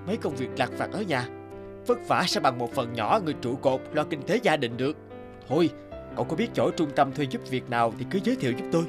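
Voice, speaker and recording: Casting: male actor for disgruntled cartoon breadwinner, condescending grumble, medium pitch, music underneath. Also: very animated, fast talker, natural voice. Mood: grumbling. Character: disgruntled, dismissive young husband and breadwinner. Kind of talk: animated moral-tale voice acting.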